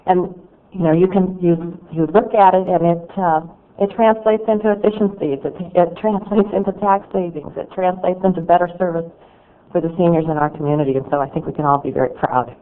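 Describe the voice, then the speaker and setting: A woman's voice: lowish voice, fairly even intonation, fairly quick. A woman from a charity giving earnest thank-you remarks at a public meeting, restarting her sentences as she goes. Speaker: charity representative. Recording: tinny phone-like meeting audio.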